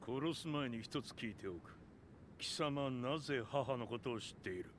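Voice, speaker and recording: A man's voice: mid-range voice, fast talker, slight background noise, close to an everyday voice. A man playing a cold, vengeful, arrogant martial arts antihero in a video game, curt and scornful. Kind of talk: dramatic video game acting.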